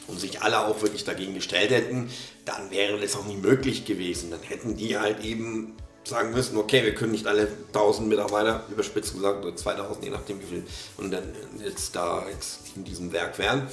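Gravelly man with a cold, hesitant. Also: fairly low pitch, fairly even intonation, fairly quick, fairly bright tone, echoey room, soft background music. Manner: hesitant, many fillers and restarts. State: slightly hoarse from a cold. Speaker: reaction video host. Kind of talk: casual commentary on a video. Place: small room at a desk.

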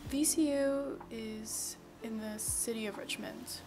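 A young woman with a slightly high voice reads a calm, reflective voice-over for her vlog. She speaks softly and evenly, as if reading from a script. Gentle music plays clearly under her narration. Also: takes it slowly. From Virginia. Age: young adult. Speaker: vlogger. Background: soft background music.

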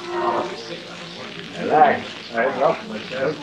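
A man's voice: mid-range voice, drawn-out words, over a noisy crowd. A man playing a middle-aged, guilt-tormented stage actor in a grandiose, theatrical old television drama manner. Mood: brooding. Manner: declamatory, dramatic. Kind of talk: theatrical 1950s television acting. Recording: muffled, hissy old television soundtrack.

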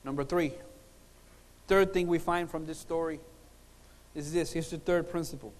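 A middle-aged man from Puerto Rico, preaching with humorous stories, emphatic pauses and repetition. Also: fairly high pitch, steady tone. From Puerto Rico, born in Cuba. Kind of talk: sermon with humorous personal stories. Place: large conference hall, on stage at a lectern. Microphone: lapel mic. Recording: reverberant hall sound system.

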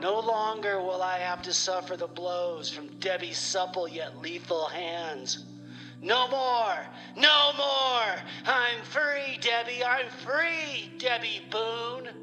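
A man's voice: sits high, steady tone, slow pace, pushed and bright, exaggerated voice, rough recording. A man playing a frantic, paranoid male clone who phones a radio show while on the run, rambling and melodramatic, over music. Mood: triumphant. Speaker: caller. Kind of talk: comedy radio theater.

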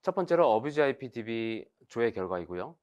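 A man in his thirties giving a formal, scripted technical webinar presentation in a measured, explanatory way. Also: mid-range voice, very animated, darkish tone, muffled recording.

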